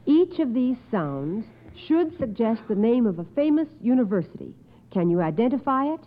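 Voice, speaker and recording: Encouraging lady quiz host, old radio show, highish voice, thin band-limited sound. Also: very animated, moderate pace. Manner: encouraging, affirming answers. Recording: tinny, band-limited 1950s radio recording.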